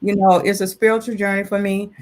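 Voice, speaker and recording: A woman's voice: medium pitch, little pitch movement, quick pace, darkish tone. An older woman, over sixty, speaking as an interview guest in an earnest, conversational way, repeating phrases for emphasis. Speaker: illustrator and artist, interview guest. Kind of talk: casual interview chat.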